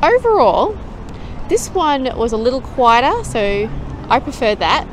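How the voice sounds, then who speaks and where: A woman's voice: high voice, sing-song, a little slow, noisy background. A woman in her thirties hosting a casual travel vlog, chatty and upbeat, talking to camera on a lapel mic at outdoor Christmas markets. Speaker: travel vlogger host. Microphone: small lapel mic. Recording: outdoor market with loud music and crowd. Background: loud music and crowd chatter.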